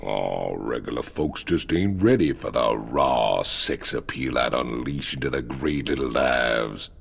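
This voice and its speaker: An actor playing a gruff, boastful male mercenary with a drawl and a gravelly, husky voice in a game cinematic. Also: extremely low pitch, expressive, measured pace, full character voice.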